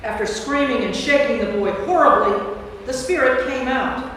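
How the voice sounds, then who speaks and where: A woman's voice: high voice, moderate pace, a bit bright. A woman reading scripted narration for a staged Bible reading. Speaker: narrator, priest. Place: large church interior. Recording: echoey church hall from far off, faint noise.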